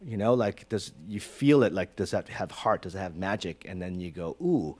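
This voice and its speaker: A man's voice: deep voice, moderate pace. A man in his forties from Hong Kong, a creative director as guest in an onstage conversation, talking with lots of fillers and big hand gestures into a stand mic. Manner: animated, gestures broadly, many fillers. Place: stage in a small auditorium with audience. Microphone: stand mic close to mouth.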